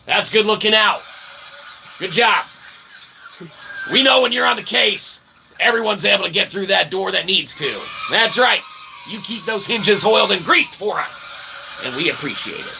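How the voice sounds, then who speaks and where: Male livestream host, somewhat high voice with gravelly fry, tongue-in-cheek and long-winded, pseudo-formal wording, clear background noise. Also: lively intonation, moderate pace, long pauses. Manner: verbose, pseudo-formal wordy phrasing with frequent tag questions; tongue-in-cheek mock philosophizing. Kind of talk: rambling casual monologue to live viewers.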